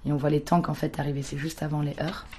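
A woman's voice: sits low, little pitch movement, fast, dark tone, a little hiss or hum. A young woman, a photographer talking about her work in an interview, hesitant with many restarts. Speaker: photographer guest. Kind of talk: casual interview talk.

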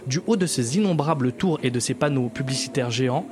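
A man's voice: mid-range voice, a little fast, music in the background. A man hosting a radio show, reading scripted narration and then chatting with guests in a friendly, teasing way. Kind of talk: scripted narration and casual interview.

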